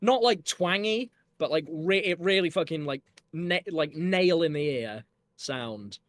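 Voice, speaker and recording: A man's voice: fairly high pitch, animated, a little slow, a bit bright, no room sound. A young man with a Yorkshire accent talks about his own music in a rambling, casual way, full of fillers and restarts. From Northern England. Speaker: musician guest discussing his album. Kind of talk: casual chat.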